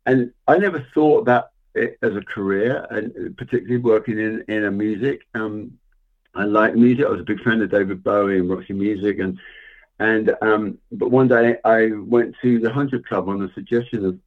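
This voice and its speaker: A middle-aged man, a guest telling stories in a relaxed, thoughtful way. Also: lowish voice, moderate pace. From England. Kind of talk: casual interview chat. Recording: tinny phone-like remote line.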